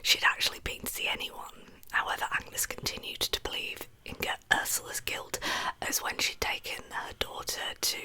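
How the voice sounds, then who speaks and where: A woman whispers a true-crime story in a breathy, soft-spoken ASMR style, narrating informally with chatty asides. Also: very high voice, poor audio.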